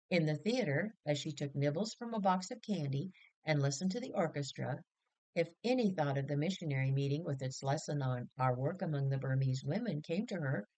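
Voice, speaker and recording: A woman reading a story aloud as scripted narration, in an expressive storytelling manner. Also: low-pitched, poor audio. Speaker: audiobook reader.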